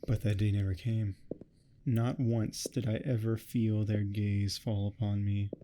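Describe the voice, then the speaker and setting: Very dark-voiced obsessive neighbor, acted by a man. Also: lowish voice, little pitch movement, a little slow, slight background noise, natural voice. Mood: wounded. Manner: theatrical, grandiose monologuing, smugly threatening. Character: obsessive male neighbor, vampire-obsessed, menacing and delusional. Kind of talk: ASMR roleplay, dramatic monologue.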